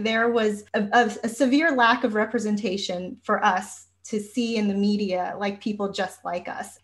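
A woman with an American accent hosting a casual, conversational interview in an effusive, affirming way. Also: mid-range voice. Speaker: interviewer, host.

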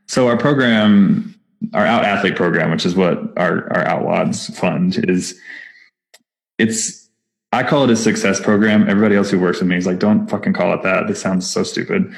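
A man's voice: low voice, fairly even intonation, moderate pace, creaky. A man with a Texas accent, founder of a nonprofit, talking casually and enthusiastically in an interview, with lots of fillers and restarts. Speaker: nonprofit founder, podcast guest.

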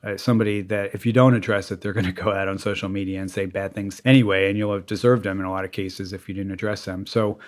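Male lawyer guest, low-ish pitch, casual, rambling delivery. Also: steady tone, fast, hardly any pauses. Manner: rambling, full of "you know" and restarts. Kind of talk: casual interview talk.

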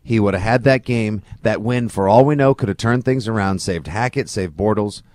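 A man's voice: lowish voice, moderate pace, darkish tone. A man co-hosting a sports podcast, talking football casually with strong opinions and emphatic, colorful phrasing.

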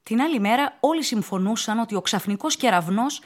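High, husky, ominous horror narrator. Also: female voice, expressive. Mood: hushed, grave. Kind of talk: dramatic radio play narration of horror story. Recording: music behind the voice.

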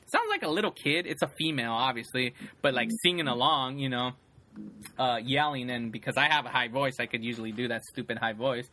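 A man co-hosts a casual podcast in a bright, forward voice. He rambles loosely, piling up fillers and restarting his sentences as he talks. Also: mid-range voice, brisk, faint music behind. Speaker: podcast co-host. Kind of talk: casual chat.

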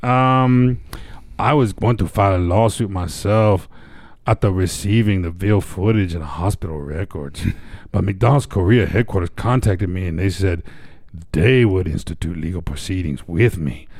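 A man's voice: low voice, darkish tone, no echo, studio quality. A man co-hosting a casual podcast, reading news stories aloud with frequent stumbles and restarts. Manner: halting, stumbles while reading. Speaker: podcast co-host.

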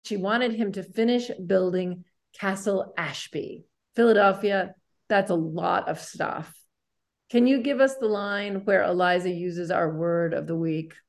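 Upbeat woman podcast host, medium pitch. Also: unhurried. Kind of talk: upbeat presenting and chat.

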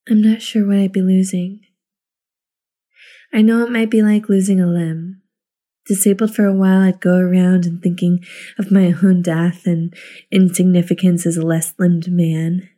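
A woman telling a personal story and reading poems aloud in an intimate, reflective way, with halting pauses. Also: fairly low pitch, mellow. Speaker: listener contributor.

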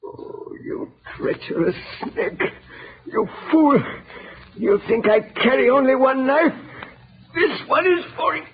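Bitter knife-wielding villain, slow venomous speech, swinging pitch, melodramatic, muffled old radio. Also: male voice, lively intonation, slightly rough, exaggerated voice. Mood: furious. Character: bitter, vengeful male criminal killer with a knife. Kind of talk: 1930s radio melodrama acting. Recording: muffled 1930s radio recording.

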